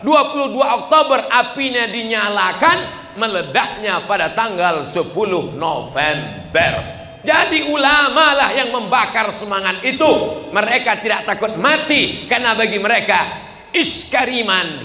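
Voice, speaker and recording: Bright-voiced man preaching in an echoey hall. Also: high voice. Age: 40s. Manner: rhetorical, asks the crowd questions, swings between loud and hushed. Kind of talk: lively sermon to a crowd. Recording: echoey hall with faint ambience.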